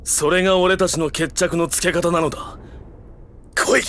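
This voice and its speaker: A man's voice: high voice, fairly even intonation, moderate pace, a little hiss or hum. An actor playing a proud, battle-hungry mercenary swordsman in an audio drama, speaking in an intense, declamatory way. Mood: grim resolve. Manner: intense, declamatory, with combat shouts. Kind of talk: theatrical Japanese audio-drama acting.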